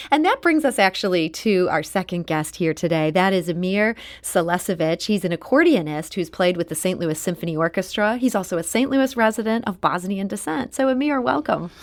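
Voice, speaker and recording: A woman's voice: mid-range voice, expressive, fairly quick, few pauses. Woman hosting a radio interview. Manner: curious, prompting questions. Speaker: radio host.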